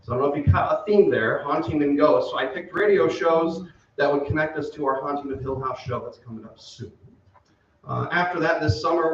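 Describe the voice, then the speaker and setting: A man's voice: fairly high pitch, slight background noise. Middle aged guy casually welcoming a theater crowd from the stage, super echoey, muffled. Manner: informal, off the cuff. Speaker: community theater director introducing a show. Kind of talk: casual welcome speech to an audience. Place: theater stage before an audience. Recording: very echoey hall, distant mic, muffled.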